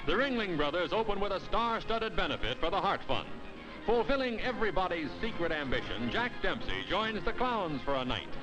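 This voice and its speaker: Animated, high-voiced male newsreel narrator. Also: fairly quick, low-quality recording. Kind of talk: scripted light 1950s newsreel narration. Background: loud music.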